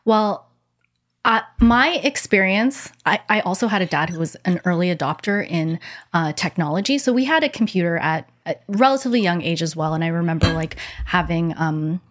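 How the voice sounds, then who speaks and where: A woman's voice: lowish voice, lively intonation, moderate pace. Chatty woman hosting a discussion. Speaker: host. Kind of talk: casual conversation.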